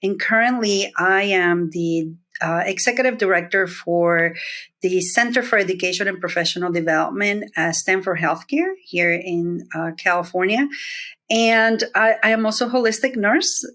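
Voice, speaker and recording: A woman's voice: mid-range voice, normal speed, fairly bright tone. A middle-aged woman, a nurse leader from Puerto Rico who grew up in New Jersey, speaking reflectively as an interview guest with many fillers and restarts. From Puerto Rico, raised in New Jersey. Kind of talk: interview conversation.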